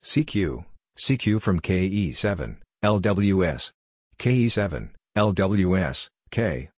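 A man narrating a Morse code practice lesson, reading scripted radio phrases in clipped, deliberate pieces. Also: deep voice, lively intonation, slightly rough, dry recording.

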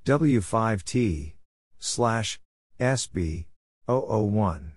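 A man narrating Morse code practice, reading scripted radio exchanges and spelling out letters and numbers deliberately. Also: on the low side, lively intonation, no echo.

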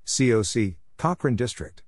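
A man's voice: mid-range voice, lively intonation, normal speed, dry recording, clean studio sound. A man giving a scripted reading for code practice, calling out spelled letters and place names as separate short announcements. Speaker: Morse code practice instructor.

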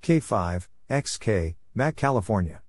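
A man reading scripted short exchanges as a practice narrator, deliberately spelling out letters and numbers one at a time. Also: on the low side, big pitch swings, no room sound, studio quality. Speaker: narrator of Morse code practice.

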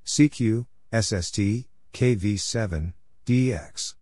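A man with a fairly low voice reads practice material very slowly. He gives each letter and short phrase separately, in the measured manner of an instructional recording. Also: mellow, no room sound, clean studio sound. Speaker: Morse code practice narrator. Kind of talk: reading out practice exchanges.

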